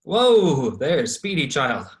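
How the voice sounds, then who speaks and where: A man's voice: on the high side, very animated, takes it slowly, slight background noise. A man playing an anxious, strict caretaker in a staged play reading, stern and protective, recorded in an echoey room. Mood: greeting. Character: anxious, strict male caretaker and head of household.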